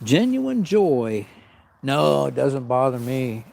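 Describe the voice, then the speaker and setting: A man's voice: medium pitch, big pitch swings, takes it slowly, vocal fry, a little mellow. A man hosting a camping livestream outdoors in the cold woods, sniffling as he chats with viewers and greets them by name. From Arizona. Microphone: small mic worn on him.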